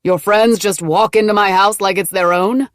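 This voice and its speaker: A woman's voice: medium pitch, fairly even intonation, moderate pace, dry recording, studio quality, natural voice. A woman reading an audiobook, voicing an angry, threatening older man who growls orders. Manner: growling, commanding.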